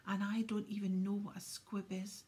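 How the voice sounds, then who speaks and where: A woman's voice: mid-range voice, flat delivery, normal speed, mellow, lightly acted. A woman voicing a nervous, defensive young schoolboy.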